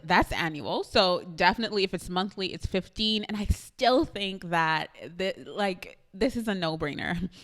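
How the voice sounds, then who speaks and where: Trinidadian lady doing a casual tutorial vlog, super excited, talks right to the camera. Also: mid-range voice. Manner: chatty, enthusiastic, addresses viewers directly with frequent laughs. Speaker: YouTube creator.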